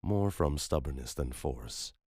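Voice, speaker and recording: A man's voice: extremely low pitch, animated, unhurried, a little mellow, breathy edge, no room sound, clean studio sound. A man narrating scripted horror fiction as a storyteller.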